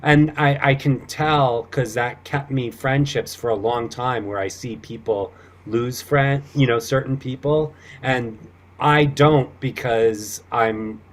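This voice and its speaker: Nice sweet sounding guy as podcast guest, mid pitch, earnest casual chat. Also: slow pace.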